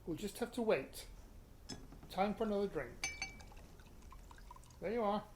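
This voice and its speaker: A man's voice: sits high, lively intonation, a little slow, noisy background. A man with a British accent playing a terse, dry-humoured middle-aged father in a naturalistic radio play. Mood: brisk, reasoning.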